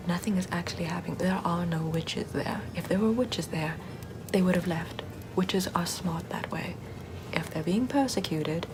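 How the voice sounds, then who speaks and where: A woman playing a sardonic Puritan-era forest witch in a soft, rambling, mostly whispered ASMR roleplay. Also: fairly low pitch, background noise, natural voice. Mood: wry, chatty. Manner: rambling, conversational, repeats words softly.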